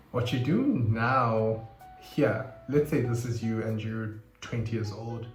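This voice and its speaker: A young man, chatting casually to camera in an animated way and breaking into sudden high squeals. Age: 20s. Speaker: vlogger. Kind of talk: casual confessional chat to camera. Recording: roomy echo, faint guitar music behind. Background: faint guitar music.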